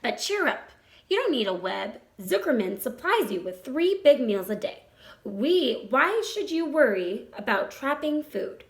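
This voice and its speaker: Woman reading as a patient wise lady spider, encouraging, instructive, high voice with some creak, storybook. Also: big pitch swings, a little slow, heavily acted. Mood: consoling, matter-of-fact. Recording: roomy echo.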